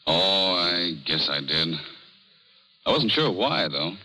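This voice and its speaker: An actor playing an easygoing, generous young husband in a 1930s radio drama, laconic and casual. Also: male voice, low voice, lively intonation, slow pace, bright, forceful tone, exaggerated voice. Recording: vintage radio recording, faint music.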